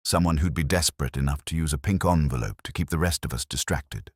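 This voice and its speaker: Male reader as frightened lady in a detective audiobook: guarded, urgent confider, low pitch, dry close sound. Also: fast, breathy edge, no echo. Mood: fearful warning. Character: anxious, fearful married woman confiding a secret.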